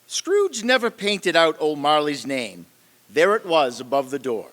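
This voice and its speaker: A man playing a genial Victorian author who tells his tale in a deliberate, dramatic manner for a staged radio play. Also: on the high side, sing-song, fairly bright tone. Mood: dramatic narrating. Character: genial, theatrical male Victorian author and storyteller. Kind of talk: old-time radio play narration on stage. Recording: long hall reverb, music behind.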